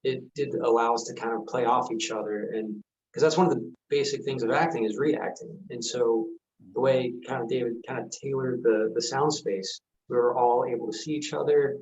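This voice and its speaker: Halting man in a roomy, dull recording. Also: mid-range voice, steady tone, darkish tone. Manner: halting, hesitant. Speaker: actor. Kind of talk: casual Q&A discussion. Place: echoey room on a video call. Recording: roomy, dull video-call audio.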